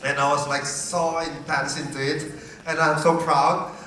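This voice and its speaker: A man with an Indonesian accent puts a question from the audience in short, effusive bursts. His voice is bright and forceful, picked up at a distance in a very echoey event hall. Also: fairly high pitch, level tone, slight background noise. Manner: effusive, halting short phrases. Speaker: TV journalist asking from the floor. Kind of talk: audience question at a press session.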